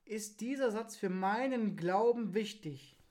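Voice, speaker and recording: Casting: female co-host, medium pitch, colloquial and opinionated, casual podcast chat. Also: unhurried, darkish tone. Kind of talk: casual chat in a question card game.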